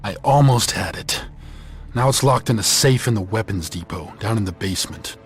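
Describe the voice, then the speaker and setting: An actor playing a battered, talkative captive agent in a video game, shaken and rambling with exclamations. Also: male voice, on the low side, moderate pace, faint music behind, lightly acted. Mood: shaken, aggrieved.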